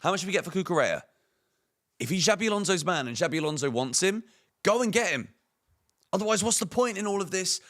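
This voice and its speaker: A man hosts a football fan channel, his voice hoarse and croaky. He reads out transfer news and breaks into emphatic, opinionated rhetorical questions. His pitch sits a touch above the usual for a man. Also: animated, quick pace, long pauses, fairly bright tone.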